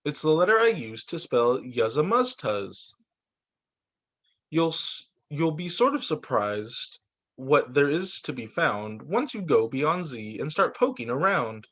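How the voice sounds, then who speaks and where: Male teacher for picture-book read-aloud: expressive storyteller, fairly high voice, highly varied melody. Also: unhurried. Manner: expressive, sing-song storytelling. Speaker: teacher reading to young students.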